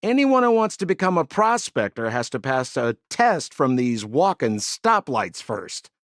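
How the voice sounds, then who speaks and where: Game role: smooth-talking swindler guide, boastful, salesman-like, very animated delivery. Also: medium pitch, measured pace, dry recording, studio quality. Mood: breezy explaining. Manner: theatrical, salesman-like, wheedling. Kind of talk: video game voice acting.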